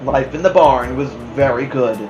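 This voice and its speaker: A woman narrating a children's story aloud as a host, in an expressive storytelling style. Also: rumbling low, moderate pace, lo-fi sound, music in the background. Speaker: storybook reader, podcast host. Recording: roomy, echoing room sound.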